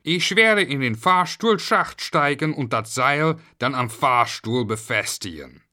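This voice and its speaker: A man voicing a gruff, brusque caretaker in a children's story. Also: mid-range voice, expressive, unhurried, pushed and bright, voice pushed up.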